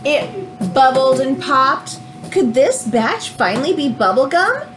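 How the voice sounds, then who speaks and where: A woman reads a children's book aloud in an expressive, teacherly way, adding explanatory asides as she goes. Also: high voice, slow pace, soft background music.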